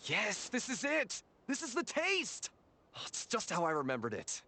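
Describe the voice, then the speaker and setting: Effusive wine-loving soldier. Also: male voice, sits high, wildly swooping pitch, moderate pace, sharp tone, a little hiss or hum, heavily acted. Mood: blissful nostalgia. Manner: effusive, sighing, theatrical. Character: male nostalgic expedition soldier, wine lover, effusive. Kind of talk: fantasy game voice acting.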